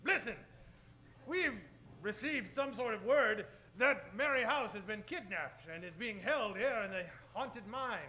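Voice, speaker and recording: Blunt cowboy sheriff hero, high pitched and bright, old radio Western spoof. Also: male voice, expressive, unhurried, full character voice. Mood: earnest. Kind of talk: old-time radio Western parody acting.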